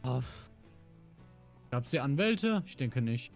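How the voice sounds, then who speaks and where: A man's voice: mid-range voice, very animated, slow pace, vocal fry. A man bantering casually as he plays a game, cheeky and slangy, often throwing in short interjections. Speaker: gamer, co-player. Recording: music under the voice. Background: music.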